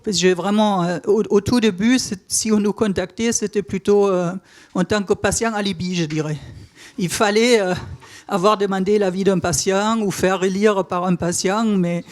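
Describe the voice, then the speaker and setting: A middle-aged German-born woman with a Toulouse accent and German as first language, giving a halting personal account at a panel discussion. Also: medium pitch, fairly even intonation, a little mellow. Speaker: patient association president. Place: on stage at a conference. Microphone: stage microphone.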